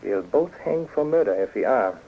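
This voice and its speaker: An actor playing a ruthless, jumpy killer in a 1940s radio drama, with urgent melodramatic delivery. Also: male voice, mid-range voice, expressive, normal speed. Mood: grim, pressing. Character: ruthless, jumpy male murderer and foreign agent henchman. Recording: dull hissy 1940s radio recording.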